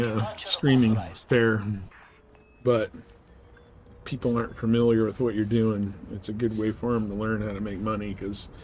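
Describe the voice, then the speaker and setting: A man's voice: lowish voice, darkish tone, rough recording. A man streaming a game, chatting casually in a laid-back, rambling way as he answers viewers. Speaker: live streamer playing a space trading game. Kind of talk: casual gameplay chat. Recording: faint background noise.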